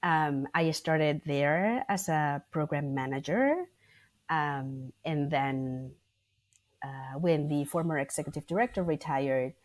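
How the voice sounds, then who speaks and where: A woman from Spain speaking English, in a low, deep register. She chats loosely and fills gaps with fillers. The sound is dry and close. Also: darkish tone, dry recording. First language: Spanish. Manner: chatty, many fillers, self-correcting. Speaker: guest, arts managing director. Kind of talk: casual interview answers.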